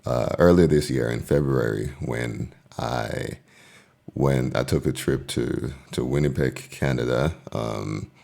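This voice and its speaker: A man hosting a casual podcast interview, speaking haltingly with many fillers and restarts. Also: rumbling low, vocal fry, dark tone. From Liberia. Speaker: podcast host.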